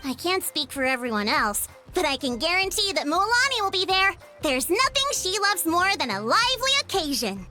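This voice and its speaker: A very high, sparkling young girl's voice, cheerful and eager in a video game scene. The delivery bounces and swoops with lots of energy, with faint music behind. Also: big pitch swings, moderate pace, few pauses, sharp tone, heavily acted. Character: bright upbeat young girl traveler companion.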